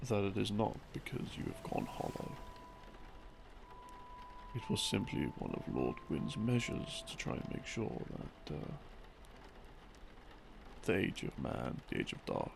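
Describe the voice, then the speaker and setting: A man giving a halting, unhurried sleep-aid ramble, explaining things from memory and trailing off as he muses. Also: fairly low pitch, vocal fry, background noise. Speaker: audio creator playing a rambling boyfriend. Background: soft background music.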